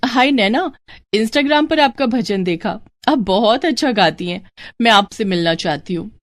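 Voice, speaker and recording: A woman voicing a determined, caring young married woman in a dramatized moral story, with expressive, earnest delivery. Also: a little high, a little slow. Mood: friendly, coaxing. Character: determined, caring young married sister-in-law, sly and warm.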